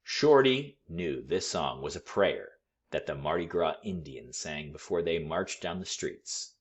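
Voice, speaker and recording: A man reading a picture book aloud to children in an expressive, teacherly way. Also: low voice, a little slow, slightly rough. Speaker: school read-aloud storyteller.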